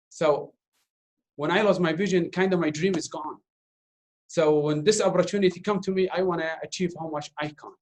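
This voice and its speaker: A man in his thirties, a native Arabic speaker from Iraq, answering interview questions in halting English full of fillers and restarts. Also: fairly high pitch, darkish tone. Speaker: interview guest, doctoral student. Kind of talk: casual Q&A talk.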